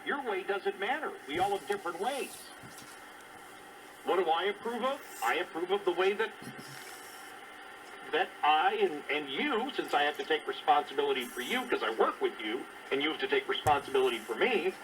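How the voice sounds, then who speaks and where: A man's voice: fairly high pitch, lively intonation, normal speed. A man giving emphatic, repetitive opinion talk in a conversational style, heard over shortwave radio. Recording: noisy, distant shortwave radio reception. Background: clear static noise.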